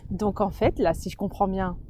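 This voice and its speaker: A woman interviewer asking questions in a quick, chatty way during a casual conversation. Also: medium pitch, steady tone, warm tone, slight background noise.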